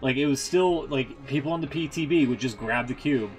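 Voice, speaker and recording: A man's voice: mid-range voice, brisk, faint music behind, low-quality recording. A man hosting a game stream with casual, opinionated, rambling commentary and some swearing.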